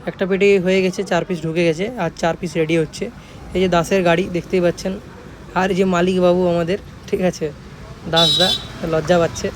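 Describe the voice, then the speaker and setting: West bengal guy doing casual workshop vlog, high voice, talks fast, some street noise behind him. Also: level tone. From Jhargram, West Bengal, India. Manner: repeats points, often adds tag phrases seeking agreement. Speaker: speaker workshop owner presenting a video.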